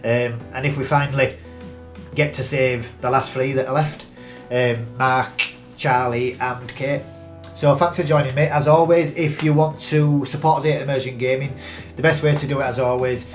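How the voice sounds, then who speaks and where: A man, congested with a head cold, chatting casually as he introduces a gameplay video with plenty of ums. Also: medium pitch. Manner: chatty, rambling, frequent ums. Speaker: gaming channel host. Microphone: desk mic he switches off.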